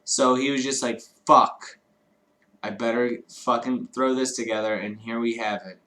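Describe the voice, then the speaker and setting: Man from Chicago, livestream co-host, loose profane chatter, average pitch. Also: fairly even intonation, a little slow, bright, forceful tone. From Chicago, United States. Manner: rambling, profane, laughs often. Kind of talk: casual chat. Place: home streaming studio.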